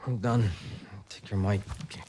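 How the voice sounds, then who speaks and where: An actor playing an arrogant, aggressive star racing driver with a cocky, curt way of talking. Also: male voice, on the low side, fairly even intonation, moderate pace, darkish tone, a little hiss or hum, close to an everyday voice. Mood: hostile. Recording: music behind the voice.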